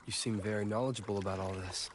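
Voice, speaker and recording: A man's voice: on the low side, steady tone, fast, close to an everyday voice. A man playing a reserved, curious young traveler hero in a video game. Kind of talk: scripted video game dialogue. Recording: clear watery ambient noise.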